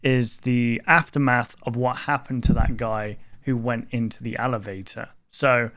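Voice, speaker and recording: A man's voice: medium pitch, fairly even intonation, unhurried, fairly bright tone, dry recording. A man hosting a reaction video, thinking aloud in a casual, rambling way with many fillers and restarts.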